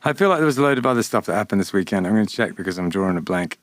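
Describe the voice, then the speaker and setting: A man's voice: on the low side, expressive, racing, dry recording, clean studio sound. A man hosting a podcast, chatting in a laid-back, rambling way and swearing casually. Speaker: podcast host. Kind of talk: casual chat. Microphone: studio mic.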